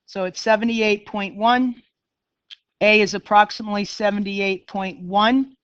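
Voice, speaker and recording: A woman's voice: mid-range voice, fairly even intonation, measured pace, a bit bright, smooth, no echo, dull audio. A middle-aged woman with a Boston accent, teaching a math class in a chatty, self-deprecating way and talking straight to her students. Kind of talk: classroom lecture.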